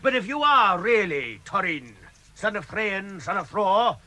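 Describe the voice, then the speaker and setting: Huge gruff wild man, blunt and suspicious, forceful high-pitched delivery, vintage radio drama. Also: big pitch swings, measured pace, heavily acted. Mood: suspicious, impatient. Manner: blunt, boisterous, gruffly challenging. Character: huge gruff suspicious skin-changer man with booming laugh. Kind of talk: 1960s radio drama acting. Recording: vintage radio production with music.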